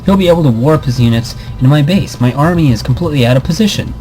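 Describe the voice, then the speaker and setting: A man's voice: fairly high pitch, animated, quick pace, mellow, faint music behind, low-quality recording. A man hosting a casual let's play, reading visual novel text aloud with commentary and often stumbling and repeating words. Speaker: gaming video host.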